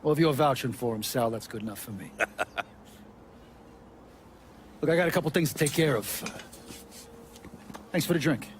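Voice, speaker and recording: An actor playing a bitter middle-aged mobster in a video game cutscene, with blunt, profane street talk. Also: male voice, mid-range voice, lively intonation, fast talker, a little hiss or hum, lightly acted. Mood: guarded, polite.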